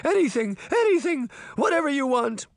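A cowardly storybook deputy. His voice is high, whiny and wildly swooping. He blusters and pleads like a man scared out of his wits, recorded dry. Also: big pitch swings, drawn-out words, pushed and bright, clean tone, no echo, exaggerated voice. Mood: terrified pleading. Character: cowardly, terrified, skinny male deputy. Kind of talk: audiobook character voice.

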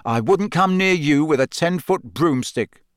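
A man voicing an angry, loyal teenage boy with loud, furious retorts in an audiobook. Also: on the high side, animated, measured pace, no echo, studio quality, pitched above the performer's own voice.

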